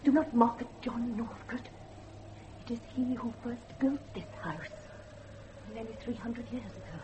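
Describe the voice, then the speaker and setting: An actress playing a haunted, timid gentlewoman, speaking formally and dreamily in a gothic horror drama. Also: a little high, unhurried, background noise, natural voice. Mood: eerie, reverent. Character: haunted, timid gentlewoman living in fear of watchers.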